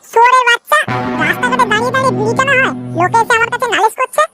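A man's voice: extremely high pitch, racing, music in the background. A man voicing a stern, hot-tempered village father in a comedy cartoon, scolding. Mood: angry accusing. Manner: scolding, accusatory. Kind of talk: amateur comedy cartoon dubbing.

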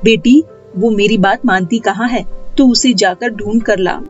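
A woman's voice: medium pitch, a little slow, highs cut off, soft background music, close to an everyday voice. A woman voicing a worried middle-aged mother in a cartoon village story, anxious but plainly spoken.

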